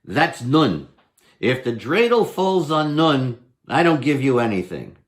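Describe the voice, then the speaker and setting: A man's voice: mid-range voice, very animated, unhurried, voice pushed up. A man voicing a wily, playful folk hero who coaxes with mock innocence in a storybook reading. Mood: instructing.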